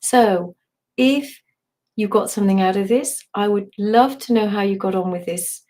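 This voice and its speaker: A woman with a hoarse, partly lost voice, gently guiding a live online voice and breathing workshop, meandering with restarts and asides. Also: medium pitch, normal speed. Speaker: somatic voice and movement teacher.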